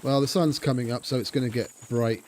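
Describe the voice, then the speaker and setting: A man's voice: mid-range voice, steady tone, brisk, darkish tone, slight background noise. Chatty man streaming a game. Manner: chatty, thinks aloud, talks to chat. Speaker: gaming streamer. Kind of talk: casual live-stream commentary.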